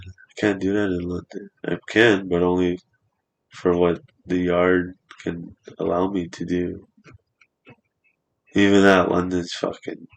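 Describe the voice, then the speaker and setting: A man's voice: low voice, creaky, poor audio. A man in his twenties chatting casually on a podcast in a studio, rambling and swearing freely. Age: 20s.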